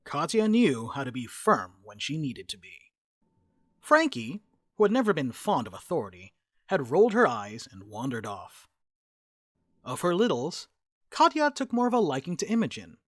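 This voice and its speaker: A man's voice: fairly high pitch, wildly swooping pitch, moderate pace, a bit bright, no echo, studio quality. A man reading scripted narration for a dramatic reading. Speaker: narrator reading stage directions.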